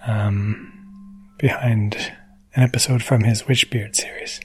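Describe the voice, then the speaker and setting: Man casually introducing a podcast, soft music behind. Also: lowish voice, steady tone, measured pace, husky. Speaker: podcast producer introducing an episode. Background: soft gentle music.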